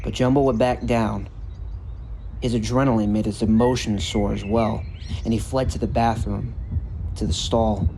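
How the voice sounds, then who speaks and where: A man reading a scripted story narration in a measured, earnest way. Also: fairly low pitch, fairly even intonation, creaky, background noise. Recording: music bed under the narration. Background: background music.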